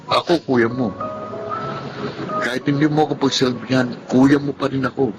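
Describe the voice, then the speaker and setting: A man playing a gentle, earnest, protective blind older brother in a Filipino radio drama. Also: mid-range voice, steady tone, normal speed, music in the background, lightly acted, poor audio. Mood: earnest, reassuring. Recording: clear background ambience noise.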